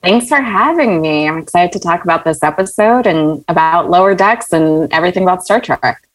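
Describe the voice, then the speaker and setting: Low-voiced woman chatting as a guest. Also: expressive, a little fast, poor audio. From born in Malaysia, raised in Saudi Arabia. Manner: chatty, with fillers and laughs. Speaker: guest screenwriter. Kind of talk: casual interview.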